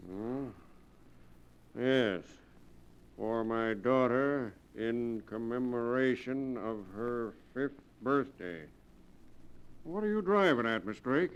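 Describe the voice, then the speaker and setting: Firm, plain-spoken sheriff, medium pitch. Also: male voice, animated, slow pace, long pauses, clean tone, lo-fi sound. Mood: sceptical, dutiful. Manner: matter-of-fact, folksy, authoritative. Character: plain-spoken, firm, sceptical middle-aged county sheriff. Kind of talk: 1940s radio drama acting.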